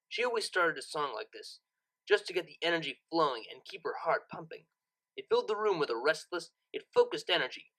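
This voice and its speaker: A narrator reading a scripted story audiobook in an expressive, dramatic storytelling manner. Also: mid-range voice, poor audio.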